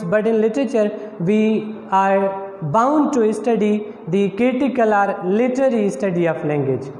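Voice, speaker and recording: Pakistani guy, english lecturer explaining to students, mellow voice, lots of uh's, echoey room w faint hiss. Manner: explanatory, many fillers and restarts, lively pitch on key terms.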